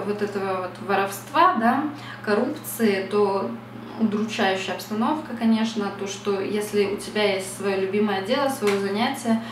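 A woman's voice: mid-range voice, echoey room, far from the mic, noisy background. A young woman from Russia talking casually to camera at home, reflective and chatty, with many fillers. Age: young adult. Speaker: beauty vlogger. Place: small bright room at home.

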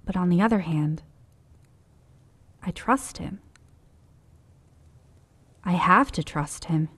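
A slow, pensive survivor diarist. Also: female voice, lowish voice, fairly bright tone, husky, full character voice. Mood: worried, confiding. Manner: pensive, measured, confiding. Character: reflective, uneasy young woman narrating her survivor journal. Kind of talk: dramatic first-person journal narration.

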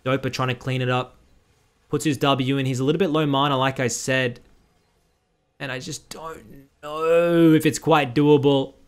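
A young man talks over a game replay in a casual, blunt way, tossing in quick critical asides. He sounds close and direct, as if speaking into a headset from a small room at home. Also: medium pitch, steady tone, clear, resonant tone. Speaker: streamer commentating a replay.

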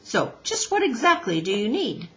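A woman voicing a gruff, stubborn father beaver in a children's storybook, blunt and folksy in manner. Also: a little high, very animated, moderate pace. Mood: folksy, grudgingly friendly. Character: gruff, stubborn, grudgingly helpful old-fashioned father beaver.

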